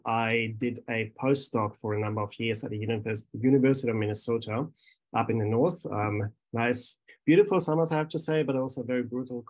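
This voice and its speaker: German-accented man presenting over a call. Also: mid-range voice. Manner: hesitant, many ums and restarts. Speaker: research scientist. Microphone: video call.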